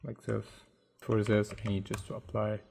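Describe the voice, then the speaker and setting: Man, low-key tutorial host thinking aloud; husky, mellow voice, soft music behind. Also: flat delivery, moderate pace. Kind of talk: casual screen-recorded modeling tutorial. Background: soft background music.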